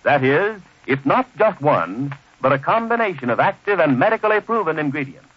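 An American male announcer in classic old-time radio style, formal and emphatic. His voice is fairly high and very lively in pitch. The recording is dull and muffled, with music present. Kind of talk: 1930s-40s radio announcing, scripted sponsor message.

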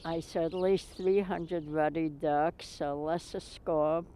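A woman, calmly and reflectively answering interview questions about birdwatching outdoors. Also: sits low, slow pace.

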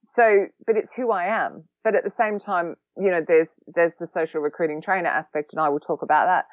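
A woman with an Australian accent, a podcast guest talking casually, quick-witted and a bit sarcastic. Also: on the low side, steady tone, no room sound, muffled recording. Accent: Australian, long lived in London. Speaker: podcast guest, social recruiting trainer.

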